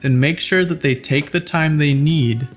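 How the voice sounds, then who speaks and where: A man's voice: on the high side, a little slow. Guy hosting a how-to video, kinda playful and demonstrative, music playing behind him. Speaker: host giving tips for tabletop RPG character voices. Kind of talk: scripted instructional video narration.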